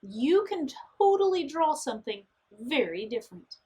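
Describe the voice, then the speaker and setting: A woman's voice: high-pitched, big pitch swings, moderate pace, dark tone. A woman art teacher guiding children step by step through a drawing and painting lesson, speaking in simple, encouraging, reassuring phrases.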